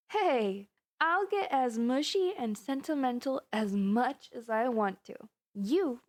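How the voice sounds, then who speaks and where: A woman's voice: a little high, darkish tone, no room sound. A woman playing a flustered, lovestruck supervillainess in a roleplay audio drama, chatting intimately and dramatically to her best friend. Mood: affectionate, teasing. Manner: chatty, dramatic, playfully indignant, with sighs and gasps. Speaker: voice actor.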